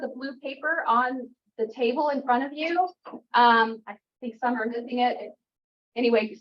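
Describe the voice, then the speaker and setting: A woman on the venue staff, chatty and upbeat, welcoming the audience and making announcements. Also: a little high. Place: meeting room. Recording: dull lo-fi room recording.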